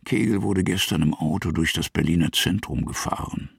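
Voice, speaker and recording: A man with a gravelly, husky voice narrating a literary radio drama in short, weighty, dramatic phrases. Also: sits low, normal speed, no room sound, studio quality, heavily acted.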